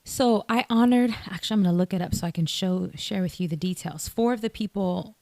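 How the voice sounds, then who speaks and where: A woman guest on a podcast, telling stories in an animated way and laughing often. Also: lowish voice, fairly quick, no room sound. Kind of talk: casual podcast conversation.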